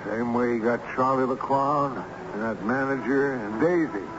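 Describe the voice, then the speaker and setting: A man's voice: medium pitch, moderate pace, close to an everyday voice. An actor playing a scared but practical man organising a search in a vintage radio drama. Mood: fearful, grim. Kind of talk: old-time radio drama acting. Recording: muffled, noisy old radio recording.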